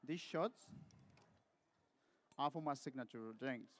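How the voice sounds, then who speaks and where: Peruvian barista man presenting to judges. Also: mid-range voice. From Peru. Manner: measured, rehearsed delivery with short pauses. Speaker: competing barista. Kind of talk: scripted competition presentation to judges.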